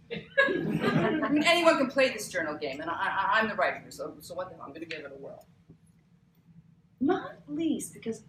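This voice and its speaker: Woman acting a proud, sardonic, animated older TV boss. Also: mid-range voice. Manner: sardonic, emphatic. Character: proud, prickly older female TV boss keeping a journal. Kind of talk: live table read of a novel. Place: cramped bookstore event, live. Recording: roomy live bookshop recording, background noise.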